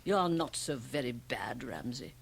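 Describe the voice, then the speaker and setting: A woman's voice: a bass voice, animated, measured pace, creaky, slight background noise, exaggerated voice. A woman playing a grotesque, sly European magic-show performer in a radio drama, teasing and provocative in a theatrical manner. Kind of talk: 1980 radio drama acting. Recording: 1980 radio drama, home cassette transfer.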